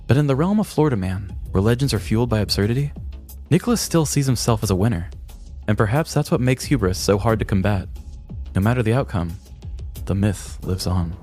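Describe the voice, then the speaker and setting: A man's voice: lowish voice, darkish tone. A man in his thirties narrating a scripted comic crime story in a mock-dramatic storytelling manner. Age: 30s. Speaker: podcast co-host and narrator. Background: music bed.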